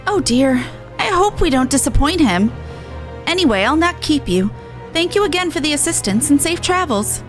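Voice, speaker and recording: A gentle female refugee in a fantasy game , read aloud by a woman. She is grateful, polite and earnest, with formal wording. Music plays clearly behind her. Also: sits high, sing-song, normal speed. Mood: polite, anxious. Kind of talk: reading game dialogue aloud.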